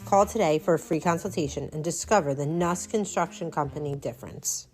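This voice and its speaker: A woman's voice: sits low, fairly quick, a little mellow. A woman reading a scripted advertisement for a home builder in an earnest, friendly tone. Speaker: ad announcer. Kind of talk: scripted radio ad read.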